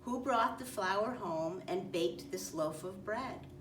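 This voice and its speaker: A woman plays a busy, self-reliant little hen from a children's storybook. She speaks emphatically and firmly, with her pitch lifted. The recording is roomy and slightly distant, with faint noise. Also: slow, close to an everyday voice. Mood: indignant. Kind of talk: children's storybook read-aloud.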